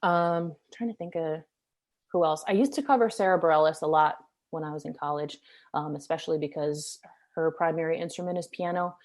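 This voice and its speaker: A woman's voice: sits low, a little fast, a little mellow. A young woman, a singer-songwriter, chatting casually in an interview, rambling with many fillers.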